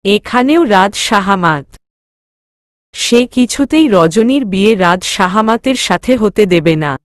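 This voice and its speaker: Slow-paced woman narrating a dramatic story. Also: mid-range voice, expressive, no echo, clean studio sound.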